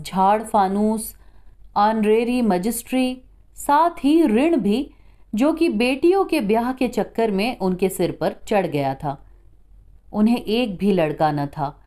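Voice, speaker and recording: A woman narrating a scripted short story in a measured, expressive storytelling manner. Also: fairly high pitch.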